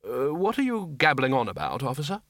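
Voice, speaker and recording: A man's voice: mid-range voice, huge pitch swings, a little fast, a bit bright, dry recording, studio quality. A man voicing a brusque, irritable male head wizard from a storybook, impatient and bossy. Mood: irritated.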